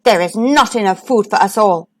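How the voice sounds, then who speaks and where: A woman's voice: medium pitch, normal speed, darkish tone, natural voice. A woman voicing a hard, insistent stepmother from a children's storybook, with a firm, commanding manner. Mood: stern, insistent.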